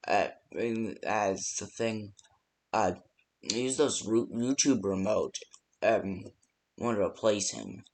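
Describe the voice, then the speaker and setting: Halting, slow-talking man with rambling delivery. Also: on the low side. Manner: halting, hesitant, rambling with many ums; casual, unpolished delivery. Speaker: phone-call guide in a fan horror game. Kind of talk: improvised game phone-call voice lines.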